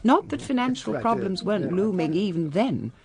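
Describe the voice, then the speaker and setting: A woman's voice: medium pitch, animated, moderate pace, a crowd in the background, close to an everyday voice. An actress playing a genteel, reflective older English lady of a declining manor, narrating a radio drama in a refined, wry manner. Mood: wry, nostalgic. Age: middle-aged or older.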